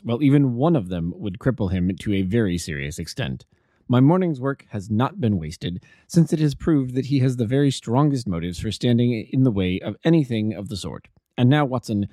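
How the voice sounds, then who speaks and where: A man voicing a calm, amused gentleman detective in an audiobook, bland, imperturbable and quietly wry. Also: lowish voice, no echo, clean studio sound.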